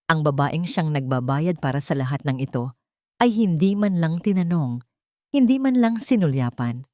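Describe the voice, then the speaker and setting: A woman's voice: low voice, animated, moderate pace, a little mellow, dry recording, studio quality. A woman reading a scripted, dramatic love story as its narrator.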